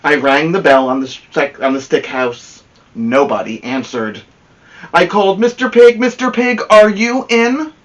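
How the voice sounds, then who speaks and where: A man's voice: fairly high pitch, unhurried, far from the mic, voice pushed up, natural voice. A man voicing a wronged storybook wolf who pleads innocence in a confiding, theatrical way. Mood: self-excusing. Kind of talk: first-person storybook read-aloud.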